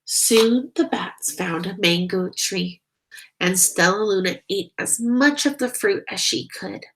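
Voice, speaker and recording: Slow gentle woman reading a storybook. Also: mid-range voice, rough recording. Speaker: storybook reader. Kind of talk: children's read-aloud narration.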